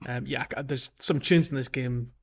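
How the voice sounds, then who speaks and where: A man with an average-pitched voice hosts a casual gaming podcast. He talks in a conversational, informal style, as in a chat among co-hosts. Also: fairly even intonation, moderate pace, gravelly, slightly rough, lo-fi sound. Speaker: podcast host. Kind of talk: casual discussion of video games.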